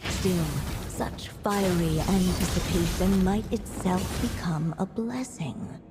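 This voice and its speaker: A woman playing a tender, wise storyteller, narrating a fairy tale in a video game in a measured, theatrical way with long reverb. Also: on the low side. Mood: gently wry. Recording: long reverb, music underneath.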